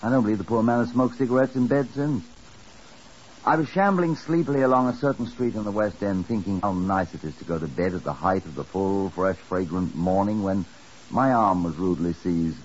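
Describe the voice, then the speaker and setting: An actor playing a weary, wry chief inspector, narrating a 1950s radio drama with dry, measured understatement. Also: male voice, lowish voice, natural voice. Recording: muffled, hissy old radio broadcast.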